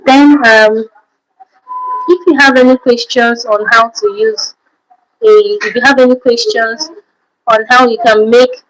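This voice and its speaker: A woman's voice: on the high side, steady tone, unhurried, long pauses, slight background noise, rough recording. A woman hosting an informal livestream tutorial, explaining things instructively and checking in with her listeners. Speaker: livestream host giving tutorial.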